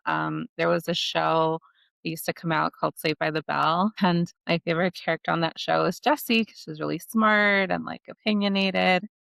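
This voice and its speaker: A woman's voice: fairly low pitch, steady tone, normal speed, creaky, fairly bright tone, no room sound. A woman in her forties from Los Angeles, an immigration attorney and podcast guest, explaining things in an earnest, practical way with plenty of "you know" and "like.". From Los Angeles, United States. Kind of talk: casual podcast interview.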